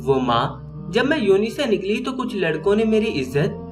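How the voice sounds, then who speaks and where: A man's voice: high-pitched, sing-song, moderate pace, over music. A man voicing a frightened young woman student, sobbing and pleading as she speaks. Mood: tearful.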